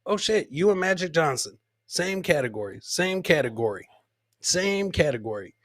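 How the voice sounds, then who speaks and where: An American man hosting a comedy podcast, loudly commenting on funny clips in a boisterous way, swearing freely and throwing out catchphrases. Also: fairly high pitch, very animated, moderate pace, no echo, clean studio sound.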